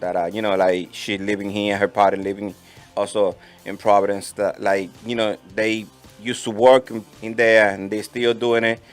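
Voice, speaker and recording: A man with a Dominican accent, co-hosting a casual community talk show, excitable and given to repeating his phrases. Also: on the low side, moderate pace, faint music behind. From Dominican Republic. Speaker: co-host. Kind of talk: casual chat.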